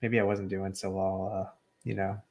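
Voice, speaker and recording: A young man with a somewhat low voice talks through a stack of graded trading cards. His delivery is relaxed and understated, casual commentary without any put-on excitement. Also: normal speed. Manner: low-key and understated, self-described as not excitable. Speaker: card collector unboxing graded cards.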